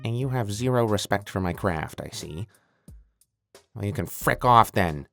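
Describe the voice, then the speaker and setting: A man's voice: lowish voice, lively intonation, a little slow, gravelly, a bit bright, exaggerated voice. A man playing a self-pitying, petulant evil mad scientist in a comedic audio skit, stammering and melodramatic. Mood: deflated, bitter. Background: creepy music.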